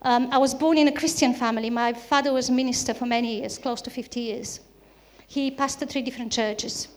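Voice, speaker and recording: A woman's voice: a little high, steady tone, a little fast. A woman from Bulgaria, a native Bulgarian speaker with a Bulgarian accent, preaching a sermon in an earnest style with repeated emphatic phrases.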